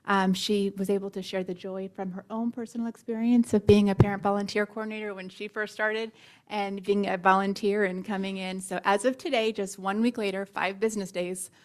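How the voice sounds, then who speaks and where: A woman with a middle-pitched voice reports to a school board in an eager way. She stops to correct her wording now and then as she talks. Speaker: district staff presenter. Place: school board meeting room.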